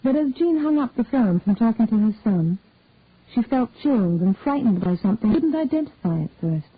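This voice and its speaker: A woman reads a detective story aloud at a measured storytelling pace, her voice slightly husky and mid-pitched. The recording is narrow and phone-like, and dry with no room sound. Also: animated, no room sound. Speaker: narrator. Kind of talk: scripted story narration.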